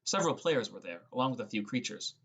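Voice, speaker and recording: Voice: mid-range voice, sing-song, fast talker, fairly bright tone, breathy edge. An audiobook narrator reading a scripted first-person fantasy story.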